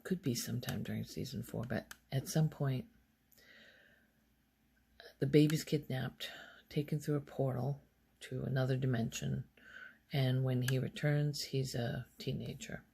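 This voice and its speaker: Soft spoken asmr lady, really low and slow, rambly and half whispering, noisy recording. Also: steady tone, gravelly. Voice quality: soft, often breaking into whisper. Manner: unhurried, halting, thinking aloud. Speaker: ASMR video host.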